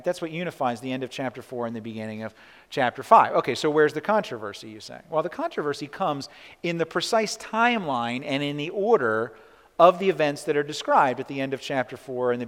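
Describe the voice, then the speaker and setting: A man preaches in a relaxed, conversational way, checking in with the congregation and often restarting mid-phrase. His voice sits a little high for a man. It rings out with a long reverb, as if in a church sanctuary. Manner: conversational, frequent "right?" asides and restarts. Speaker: pastor. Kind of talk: sermon.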